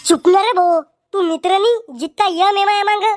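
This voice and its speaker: A man voicing a cheeky, quarrelsome village cartoon character with a Varhadi Marathi accent and a pitched-up voice. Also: steady tone, moderate pace, a little hiss or hum. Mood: cheeky, sarcastic. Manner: brash, sarcastic, bickering.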